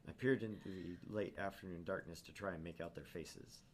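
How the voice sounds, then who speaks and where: Guy doing brooding troubled student narrator from a visual novel, deep voice, noisy background. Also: fairly quick, lightly acted. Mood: weary. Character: troubled, haunted young male student narrating his anguish. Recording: clear background noise.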